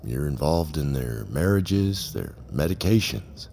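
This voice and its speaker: An audio drama police officer with an extremely low, gravelly voice. His questions are formal and unyielding, yet the pitch moves with lively variation as he probes. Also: measured pace, a little hiss or hum, heavily acted. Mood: stern, pressing. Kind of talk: audio drama interrogation dialogue.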